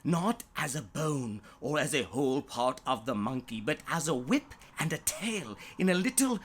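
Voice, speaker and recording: A storyteller narrating a rhyming bedtime story in a theatrical, drawn-out way with dramatic swoops. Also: medium pitch, sing-song, slight background noise. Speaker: storyteller, author reading own story.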